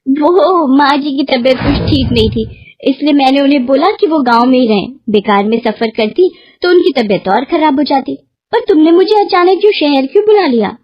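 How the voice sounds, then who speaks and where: A woman's voice: high voice, animated, normal speed, dry recording, dull audio. A woman voicing a sly, bullying daughter-in-law who threatens and sweet-talks in a story. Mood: falsely sweet.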